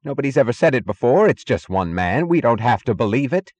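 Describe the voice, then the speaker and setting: A man voicing a frantic, pleading man who insists in strained denial, in an audiobook novel. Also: a little fast. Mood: panicked, insistent. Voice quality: flat, strained. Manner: shrill, insistent questioning.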